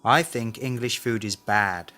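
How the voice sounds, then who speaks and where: A man's voice: medium pitch, a little slow, pushed and bright. A man reading a scripted language lesson, carefully enunciating model words and sentences. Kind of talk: scripted language drill, read aloud.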